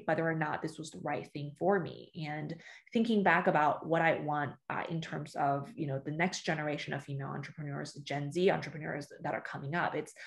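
A woman with a notably low voice speaks as a startup founder in a relaxed interview. She talks in a loose, conversational way and keeps checking in with "right?" and "you know". Her delivery sounds unscripted and chatty. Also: gravelly.

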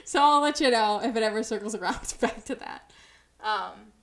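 A woman's voice: a little high, moderate pace. Rambling, self-mocking woman podcaster. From New Jersey. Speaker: podcast co-host. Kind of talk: casual podcast chat.

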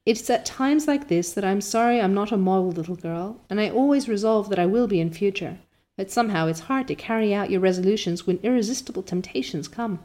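A woman's voice: medium pitch, a little fast, dark tone, lightly acted. A woman voicing an imaginative, rapturous orphan girl who gushes with breathless enthusiasm, reading a storybook aloud.

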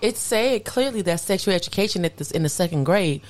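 A woman's voice: on the low side, animated, a little fast, a little mellow. A woman co-hosting a podcast in casual talk, adding dry, sarcastic remarks. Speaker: podcast co-host.

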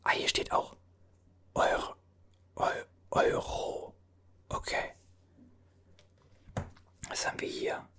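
A performer whispering as a bewildered time traveler from an older era, puzzling over strange objects in a halting voice full of restarts. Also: sharp tone. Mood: puzzled. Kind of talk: whispered ASMR roleplay.

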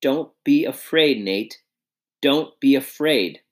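A man's voice: medium pitch, lively intonation, slow pace. A man reading as a shy young inventor. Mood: earnest. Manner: shy, earnest and modest. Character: young male submarine inventor, shy and earnest.

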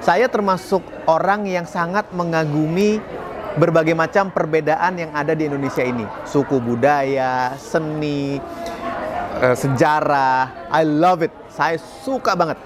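A man's voice: fairly high pitch, unhurried, background noise. A man giving a chatty, upbeat talk to camera as a public speaking coach, laughing now and then at his own slips. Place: outdoors in a city.

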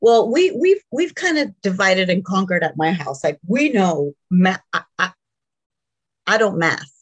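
A woman in her forties, a native Spanish speaker, co-hosting a podcast in a jokey, animated way and laughing often. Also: medium pitch, measured pace, breathy edge. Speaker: podcast co-host. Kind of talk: casual chat.

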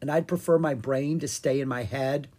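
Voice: medium pitch, fairly even intonation, moderate pace, mellow, slightly rough, natural voice. A storybook reader voicing a young girl dragon who is cautious and matter-of-fact. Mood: nervous, hushed. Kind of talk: animated children's storybook character reading.

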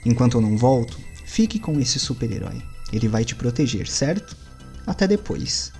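A man's voice: medium pitch, very animated, moderate pace, darkish tone. A man voicing a stern but caring father who is putting his young son to bed and speaks firmly and reassuringly. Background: faint music.

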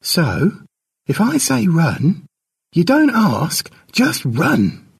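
A man voicing a stern, protective woman elder who warns a child in urgent, deliberate short phrases. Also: sits high, huge pitch swings, creaky, husky, distant mic, pitched above the performer's own voice, exaggerated voice. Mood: stern warning.

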